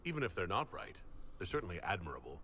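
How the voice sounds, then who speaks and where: A man voicing a steadfast, devoted hero from a role-playing video game in a soft, hushed, breathy voice. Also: deep voice, sing-song, fast talker, slight background noise, pitched below the performer's own voice, full character voice. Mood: reassuring.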